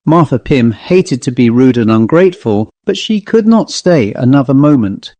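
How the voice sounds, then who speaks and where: A male audiobook narrator reads a literary ghost story. His voice sits at a middle pitch, and the recording is close and dry, with no room around it. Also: sing-song, normal speed, dark tone, no echo.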